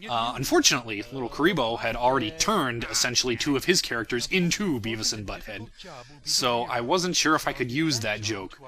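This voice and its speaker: A man's voice: mid-range voice, animated, a little fast, bright, forceful tone. A young man giving a casual, rambling and self-deprecating commentary on his own work. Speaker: creator of a fan parody series, commentator.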